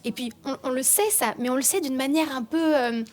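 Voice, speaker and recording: A woman voice actress chatting in a relaxed interview, excitable and animated, quick to laugh. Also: high-pitched, slight background noise. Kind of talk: casual interview chat. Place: at a voice-acting festival.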